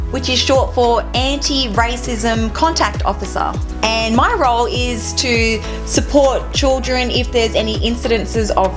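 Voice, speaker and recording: A woman teacher with a slightly high voice answers interview questions in a relaxed, conversational way. She slows down to coach pronunciation patiently. Music runs clearly underneath the recording. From Australia. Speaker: teacher and anti-racism contact officer. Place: school.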